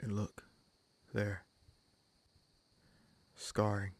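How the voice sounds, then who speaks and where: A man playing a kindly but gruff wounded bandit leader in an audio roleplay, speaking to the listener in halting, musing phrases. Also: lowish voice, monotone. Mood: thoughtful, suspicious.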